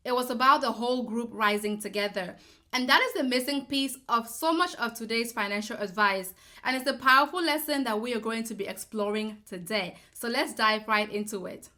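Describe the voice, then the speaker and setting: A woman's voice: on the high side, pushed and bright. A woman from Cameroon, a personal finance educator, giving a scripted, persuasive explainer full of rhetorical questions.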